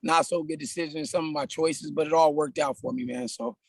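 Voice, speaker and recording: A man in his thirties from California, a personal trainer talking about his life as a podcast guest in a relaxed, casual way, often saying "man". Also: on the high side, a little fast, gravelly, no room sound. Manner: laid-back, frequent "man" and restarts. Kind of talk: casual conversational interview.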